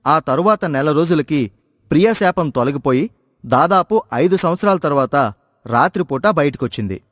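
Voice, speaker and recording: A man's voice: a little high, lively intonation, moderate pace, dry recording, clean studio sound. A man reading a scripted story as its narrator.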